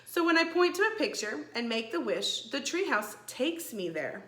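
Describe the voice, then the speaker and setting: A woman voicing a calm, gracious old enchantress in a children's storybook, speaking in a measured, precise way. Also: sits high, roomy sound, voice pushed up. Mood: serene, explaining.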